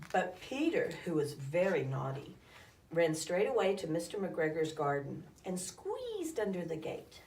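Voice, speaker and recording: A woman with a slightly low voice reads a children's storybook aloud. She tells it expressively and with some drama. She is recorded at a distance from the microphone in an echoey room. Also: very animated, measured pace, a little mellow, a little hiss or hum. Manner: dramatic, acts out calls and sound effects.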